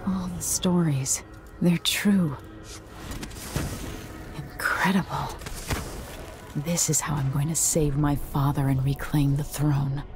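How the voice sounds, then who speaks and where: Slow, hushed young empress. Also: female voice, sits low, fairly even intonation. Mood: awed. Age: young adult. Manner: hushed, introspective. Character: young deposed empress, troubled, wondering, resolute. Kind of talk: video game cutscene acting. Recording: game music behind voice.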